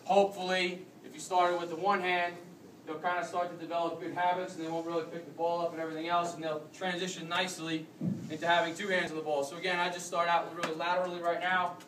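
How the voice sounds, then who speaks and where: A man's voice: fairly high pitch, flat delivery, fast talker, a bit bright, a little hiss or hum. A young American man coaching rugby technique, explaining as he demonstrates moves in a big conference room, recorded on a phone camera from across the room. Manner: explanatory, demonstrating while moving. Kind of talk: demonstration clinic. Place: large carpeted conference room with a crowd standing around. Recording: roomy echo, distant from the mic.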